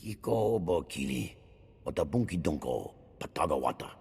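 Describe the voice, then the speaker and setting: An actor voicing a gruff alien prisoner in a video game, speaking emphatically in an invented alien tongue. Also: male voice, extremely low pitch, expressive, normal speed, a little mellow, slight background noise. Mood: earnest. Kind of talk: video game cutscene acting. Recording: long hall reverb, music behind.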